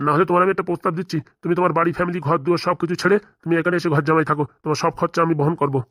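A man's voice: a little high, level tone, racing, fairly bright tone, no echo, clean studio sound, close to an everyday voice. A man playing a stern, protective middle-aged father-in-law who lectures insistently in a comic cartoon. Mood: stern, indignant. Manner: insistent, lecturing, rhetorical questions. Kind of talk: comic cartoon drama dialogue.

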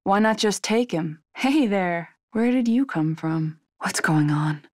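A woman's voice: medium pitch, lively intonation, slow pace, no room sound, studio quality. A young woman voicing a guarded, determined female agent in a horror video game, serious and terse. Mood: focused, puzzled.

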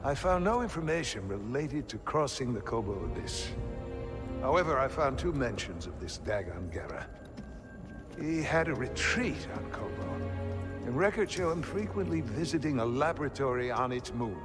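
Game role: actor as learned male keeper of records, polite, mid-pitched, echoing hall. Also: sing-song, moderate pace, music in the background, natural voice. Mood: courteous, helpful. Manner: polite, formal, precise. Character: courteous, scholarly male archivist. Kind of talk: cinematic video game acting. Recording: long hall reverb, game score behind.

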